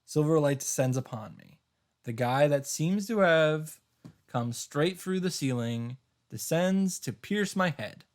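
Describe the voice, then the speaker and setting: A man reading a first-person story aloud in a theatrical, halting way, with dramatic emphasis. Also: fairly high pitch, expressive. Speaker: streamer reading a visual novel aloud.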